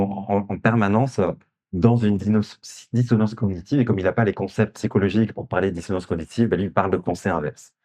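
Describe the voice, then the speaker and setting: A man's voice: low voice, dark tone. A man, an author at a literary festival talk, explaining a novel and asking questions with frequent hesitations and restarts. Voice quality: hesitant, many fillers and restarts. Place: festival conference room.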